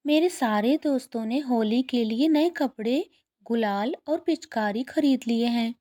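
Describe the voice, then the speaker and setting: A woman's voice: a little high, unhurried, dark tone, lightly acted. A woman voicing an eager young girl bird with a childlike, pleading way of asking, in a children's cartoon story. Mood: worried, pleading. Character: anxious, eager little girl bird, a daughter.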